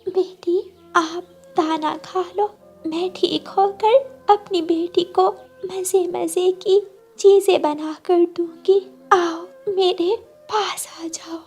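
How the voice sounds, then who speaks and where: A woman's voice: very high-pitched, fairly even intonation, a little mellow, voice pushed up, heavily acted. A woman voicing a sick, tender mother bird in a cartoon, speaking weakly and pleadingly. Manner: weak, slow, pleading delivery. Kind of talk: Hindi cartoon character acting.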